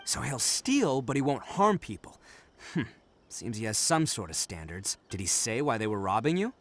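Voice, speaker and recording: An actor playing a brash, boastful young oni gang boss in a video game, slangy and swaggering. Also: male voice, medium pitch, big pitch swings, moderate pace, lightly acted. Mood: gruff, annoyed.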